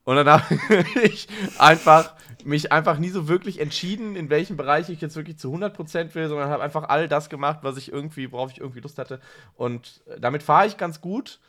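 A man's voice: on the high side, brisk, bright, forceful tone. A man chatting casually as a podcast co-host, self-ironic and joking, given to playful exaggeration. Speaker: podcast co-host, social worker and workshop leader. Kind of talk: casual conversational podcast chat.

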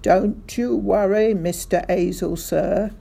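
A woman voicing a brisk, sly male village police sergeant in an audiobook, hearty and mock-innocent, dropping aitches. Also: medium pitch, lively intonation, gravelly, mellow, slightly rough, full character voice.

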